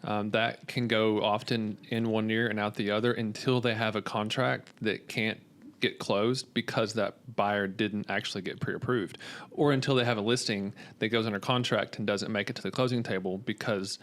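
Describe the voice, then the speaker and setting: An American man speaking as a podcast guest, explaining in a measured conversational way with hesitant pauses. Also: on the low side, fairly even intonation, fairly bright tone. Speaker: mortgage lender guest.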